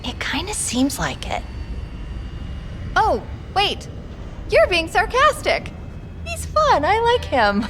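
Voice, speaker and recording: A woman playing a curious, chirpy young AI companion in a video game, playful and quick-witted. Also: very high voice, huge pitch swings, a bit bright, full character voice. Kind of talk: cinematic video game acting. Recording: music behind the voice.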